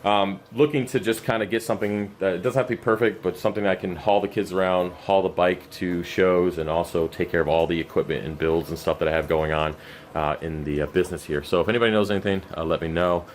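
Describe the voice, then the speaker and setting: Deep-voiced guy, tired and going scratchy, rambling update from his fish room, faint hum behind. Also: fairly even intonation, fast talker. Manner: loose, rambling, many fillers and restarts. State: losing his voice, scratchy throat; exhausted. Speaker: aquarium channel host. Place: cluttered home fish room. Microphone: camera mic while walking around.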